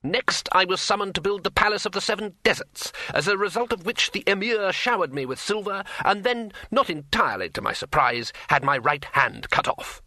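Man acting a proud, forceful master craftsman. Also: sits high, animated, normal speed, hardly any pauses, no echo, voice pushed up, full character voice. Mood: bitterly matter-of-fact. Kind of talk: audiobook character voice.

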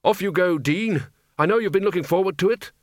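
A man voicing a bossy, exasperated older wizard chief in an audiobook, blustering and gruffly sarcastic. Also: high-pitched, fairly quick, no room sound, studio quality, pitched above the performer's own voice, close to an everyday voice. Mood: hearty.

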